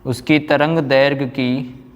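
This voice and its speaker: A man's voice: medium pitch, level tone, slow pace, muffled recording. A man lecturing as a physics teacher, explaining with repetition, rhetorical questions and frequent check-ins. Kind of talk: classroom lecture. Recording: echoey hall, long reverb.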